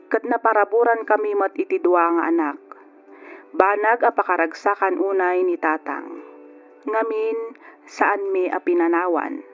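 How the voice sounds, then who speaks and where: A man's voice: high-pitched, lightly acted. An actor playing a grown son who narrates his own story with forgiveness and love for his father, in an Ilocano radio drama. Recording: phone-like old radio recording with music.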